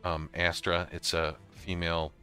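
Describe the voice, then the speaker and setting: Deep, bright-voiced man giving a tutorial. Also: monotone, a little slow, soft background music. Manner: informal, step-by-step explaining. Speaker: host of a software tutorial. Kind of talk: casual screen-recorded walkthrough.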